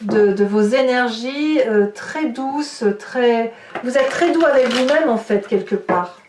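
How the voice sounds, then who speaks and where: High-voiced woman, echoey and distant. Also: slow pace, noisy background. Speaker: tarot reader hosting a horoscope video. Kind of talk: improvised tarot reading addressed to viewers. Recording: roomy echo, distant microphone.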